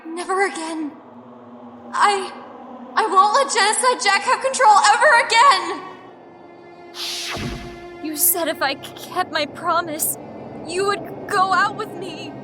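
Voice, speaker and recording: A woman playing a gloomy, anxious teenage girl in an anime game fandub, halting and overwrought. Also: very high-pitched, full character voice. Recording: loud music behind. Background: music.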